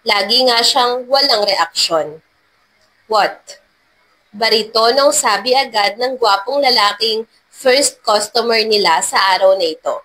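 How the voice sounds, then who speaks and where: High-voiced woman narrating a story. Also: pushed and bright, poor audio. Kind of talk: scripted love-story narration.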